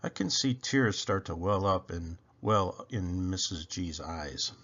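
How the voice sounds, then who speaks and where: Very animated man reading a storybook. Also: low voice, slow pace, highs cut off. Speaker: storybook reader. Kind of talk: expressive children's read-aloud narration.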